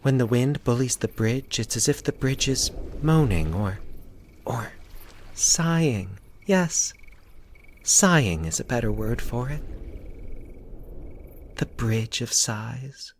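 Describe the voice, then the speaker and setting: A man voicing a childlike, lonely woman with a dreamy, wistful and excitable manner in a scripted horror story. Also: mid-range voice, takes it slowly, long pauses, slight background noise, voice pushed up. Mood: dreamy, eager. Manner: breathless, wistful, insistent.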